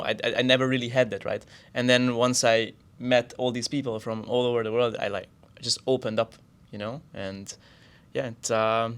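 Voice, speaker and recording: A man's voice: medium pitch, a bit bright, clear, resonant tone. A man from Hungary who co-hosts a podcast, speaking in an enthusiastic, open way. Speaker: podcast co-host. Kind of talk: casual chat.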